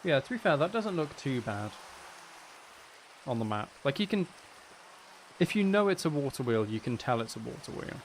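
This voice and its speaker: A man's voice: medium pitch, sing-song, moderate pace, long pauses, a little hiss or hum. Rambling man on a casual gaming stream. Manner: mildly sweary. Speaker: game streamer and audio creator.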